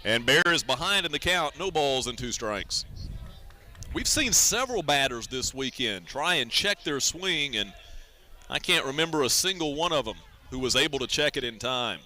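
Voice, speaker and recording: Very bright-voiced American baseball announcer, male. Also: mid-range voice, lively intonation, normal speed. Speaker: baseball radio play-by-play announcer. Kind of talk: live sports radio commentary.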